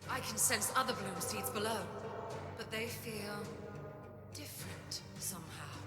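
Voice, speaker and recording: A woman's voice: mid-range voice, expressive, unhurried, lightly acted. A woman playing an imperious, driven inquisitor in a video game cutscene, with a haughty, commanding, intense delivery. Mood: awed, intent. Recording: roomy echo under loud music.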